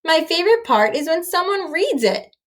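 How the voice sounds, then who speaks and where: A woman voicing a kindly, slightly mysterious female librarian from a storybook, in a gentle manner. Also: very high-pitched, sing-song, normal speed, voice pushed up, full character voice.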